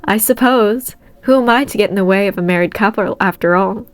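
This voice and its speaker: A woman's voice: mid-range voice, a little fast, no room sound, close to an everyday voice. A woman voicing a protective, inquisitive aunt in a story reading, fussing and full of questions. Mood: relenting.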